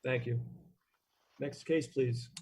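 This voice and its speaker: A man chairing a formal meeting as its chairman, speaking in a halting, procedural way. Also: medium pitch, fairly even intonation, vocal fry, a little mellow, a little hiss or hum. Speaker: commission chairman. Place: remote meeting call.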